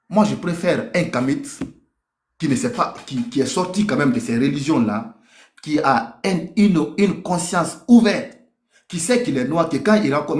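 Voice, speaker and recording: Emphatic man in an echoey room. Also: a little high. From Africa. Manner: emphatic, repeats phrases for weight. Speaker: speaker in a group discussion. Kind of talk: impassioned spoken testimony in a debate.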